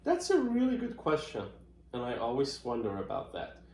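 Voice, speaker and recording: A middle-aged man from Iran answering interview questions in a thoughtful, unhurried way, with many fillers and restarts. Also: mid-range voice, wildly swooping pitch, darkish tone, slight background noise. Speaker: interviewee, marketing professional. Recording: roomy echoey room.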